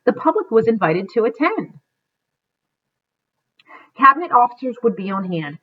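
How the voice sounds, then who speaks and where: Middle-aged woman, mid-pitched voice, careful teacherly read-aloud, muffled webcam recording. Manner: careful reading with stumbles and self-corrections. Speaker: teacher reading a book aloud to students. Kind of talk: read-aloud of a history book. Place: living room at home, indoors. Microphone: computer webcam microphone at desk distance. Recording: dull, lo-fi webcam sound.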